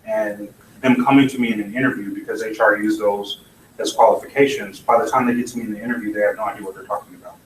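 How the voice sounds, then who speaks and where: A man's voice: on the low side, fairly even intonation, fast talker. A man giving a blunt, opinionated conference talk with dry asides. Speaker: conference speaker. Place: conference hall. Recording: echoey hall, mic from far off, faint noise.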